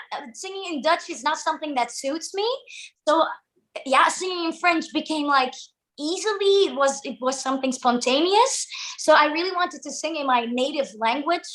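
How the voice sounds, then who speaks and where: A young woman from Flanders with a high, light voice talks about her songwriting as an interview guest. She is bubbly and giggles often, restarting her sentences as she goes. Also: fairly bright tone, poor audio. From Flanders, Belgium. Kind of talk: casual interview answers.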